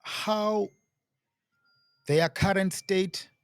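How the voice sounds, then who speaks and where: Brief: higher-voiced male host, native isiXhosa speaker, eager and interrupting, dry close sound. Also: steady tone, very slow, clean tone, dry recording. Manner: eager, restarts often, steers the talk. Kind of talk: conversational interview.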